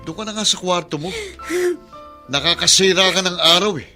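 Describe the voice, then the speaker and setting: Radio drama: cruel, irritable dad, very sharp forceful high-pitched voice. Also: male voice, a little fast, faint music behind, full character voice. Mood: irritated. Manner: harsh, impatient, scolding. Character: cruel, irritable father snapping at his child. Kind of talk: Filipino radio drama acting.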